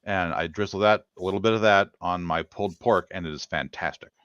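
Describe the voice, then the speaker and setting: A man's voice: sits low, brisk, fairly bright tone, smooth, no room sound, highs cut off. A man co-hosting a casual online cooking chat, easygoing and hesitant. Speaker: co-host.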